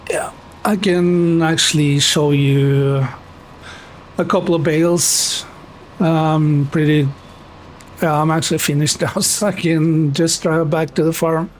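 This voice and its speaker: A young man with a Norwegian accent chats to his stream at an unhurried pace, full of "uh"s and "um"s. His voice sits a little higher than most men's. Faint noise hums behind him. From Norway. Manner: relaxed, chatty, many fillers, answers chat. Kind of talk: casual chat while gaming.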